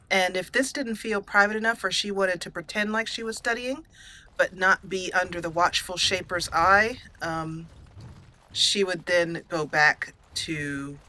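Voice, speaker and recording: A woman hosting a video game let's play, telling her character's story in a halting, musing way as she improvises. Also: lowish voice, pushed and bright. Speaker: gaming channel host.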